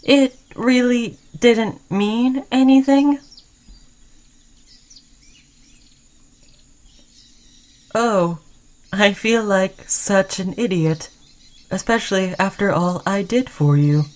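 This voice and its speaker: Lovestruck sentient robot played by a woman, mid-pitched, clingy and earnest, pleading with a veiled threat, for an intimate sci-fi audio roleplay. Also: takes it slowly, a little mellow, natural voice. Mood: hurt. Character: obsessed, lovestruck sentient robot, clingy, caring yet menacing. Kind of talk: roleplay audio drama, intimate second-person monologue.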